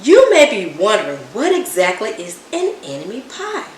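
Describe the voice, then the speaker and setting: A woman reading a children's story aloud in an expressive, dramatic way, with emphatic words and hushed asides. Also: medium pitch, normal speed. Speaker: storybook reader. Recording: roomy echo, distant mic, background noise.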